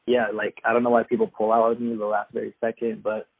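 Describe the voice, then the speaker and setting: A man's voice: lowish voice, completely flat delivery, quick pace, no echo. A young man from Afghanistan, a guest fighter, answering casually with lots of fillers. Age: young adult. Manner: loose, filler-heavy, plain-spoken. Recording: husky, tinny phone-like line.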